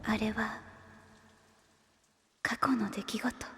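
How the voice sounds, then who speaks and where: An actress playing an earnest, emotional young woman in a Japanese audio drama, expressive and girlish. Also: a little high, drawn-out words, whispered. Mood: grieving, hushed. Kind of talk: Japanese anime-style audio drama acting.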